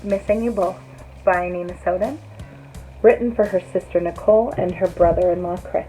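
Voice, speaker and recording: A woman's voice: on the low side, steady tone, moderate pace, warm tone, soft background music, rough recording. A woman reading a scripted rhyming poem aloud. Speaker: poetry reader.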